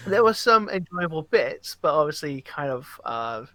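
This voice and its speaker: A man co-hosting a podcast, chatting casually about films and games with halting, hesitant phrasing. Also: fairly high pitch, big pitch swings, pushed and bright, dry recording. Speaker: podcast co-host. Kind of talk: casual film and game chat.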